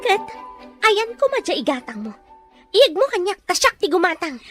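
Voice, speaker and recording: A woman's voice: extremely high pitch, very animated, normal speed, music in the background. A woman playing a harsh, domineering madam in an Ilocano audio drama, commanding and scornful. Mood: suspicious, taunting. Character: harsh, domineering madam interrogating and threatening her captive.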